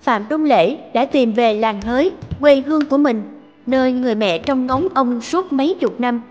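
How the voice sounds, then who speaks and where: A woman's voice: high-pitched, normal speed, a little mellow, muffled recording, faint music behind. A woman narrator reading a scripted story.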